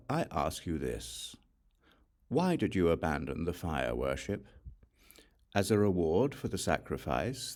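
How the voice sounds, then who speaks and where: A man reading scripture as a scripted audiobook narration, with measured, deliberate storytelling delivery. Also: low-pitched, darkish tone.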